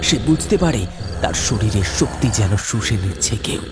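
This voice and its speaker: A man's voice: lowish voice, animated, moderate pace, over loud music. A man telling a scripted horror story as a dramatic narrator. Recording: clear background noise, ambience.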